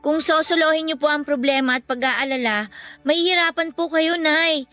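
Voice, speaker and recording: High-voiced, earnest Filipino child. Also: female voice, normal speed, bright, forceful tone, faint music behind. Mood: earnest, comforting. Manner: respectful, earnest, reassuring. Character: caring, earnest school-age child comforting worried mother. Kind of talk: Filipino radio drama acting.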